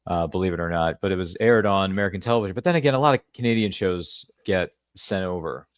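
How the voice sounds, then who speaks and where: A man's voice: sits low, fast talker, clear, resonant tone, dry recording. A man hosting an interview show, chatty and playful, fond of references and catchphrases. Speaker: podcast host. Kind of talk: casual interview chat.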